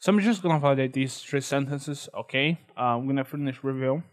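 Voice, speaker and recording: A young man whose first language is Portuguese, with a Brazilian accent, walking viewers through a website in a relaxed, hesitant way with many fillers and restarts. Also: mid-range voice, fairly quick. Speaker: tutorial host. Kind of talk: screen-recorded walkthrough.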